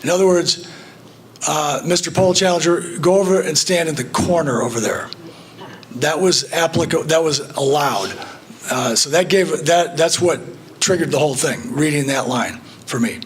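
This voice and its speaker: A man addresses a club meeting in a plain, halting way. His voice sits a little high for a man, and he pauses often with fillers. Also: lively intonation. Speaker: club volunteer organizer. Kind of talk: casual public talk at a meeting.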